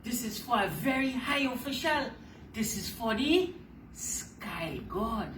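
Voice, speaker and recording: A cocky storybook spider played by a woman, pitched high and swooping up and down. He is smug and boastful, stretching his words to show off. The sound is roomy. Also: animated, a bit bright, far from the mic, a little hiss or hum. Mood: haughty. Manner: smug, showing off, drawn-out emphatic words.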